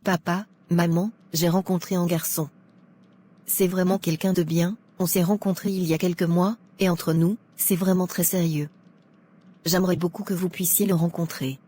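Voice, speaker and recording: A woman playing a sincere, polite young student in love in an animated drama. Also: lowish voice, normal speed, natural voice. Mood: pleading. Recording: music behind the voice.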